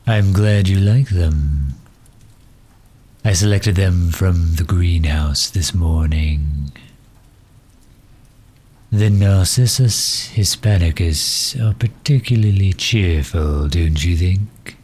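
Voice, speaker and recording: Man playing a slow, low-voiced genteel villain. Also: a little mellow, slight background noise. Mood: gentle, curious. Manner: formal, precise, unhurried, politely condescending. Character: genteel, erudite male villain, courteous and misunderstood. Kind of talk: ASMR audio roleplay.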